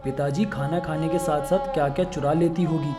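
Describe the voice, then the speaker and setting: A woman playing an arrogant, demanding young rich man in an animated moral story. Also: sits low, fairly quick, music in the background, lightly acted. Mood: accusing. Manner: haughty, demanding.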